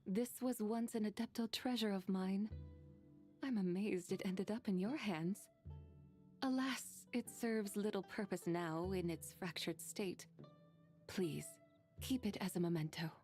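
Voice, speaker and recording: A woman plays an ancient immortal lady with a mid-pitched voice. She speaks in lofty, old-fashioned phrases with a whimsical, teasing temper, anime game style, over faint music. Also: a little slow, a little mellow, close to an everyday voice. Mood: lofty, gentle. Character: ancient playful female adeptus, elegant and whimsical. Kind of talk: anime video game voice acting.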